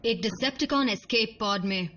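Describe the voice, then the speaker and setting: Woman as sharp, sarcastic robot warrior. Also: a little high, normal speed, a little hiss or hum, natural voice. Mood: skeptical, annoyed. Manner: sarcastic, challenging. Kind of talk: animated series dub acting.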